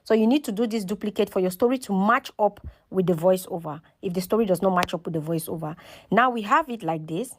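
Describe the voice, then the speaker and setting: A woman's voice: mid-range voice, brisk, slight background noise. A woman with a mid-range voice presents a hands-on tutorial in a casual way. She explains one step at a time and keeps checking that listeners follow. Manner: instructional, often checks in with okay and right. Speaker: tutorial host.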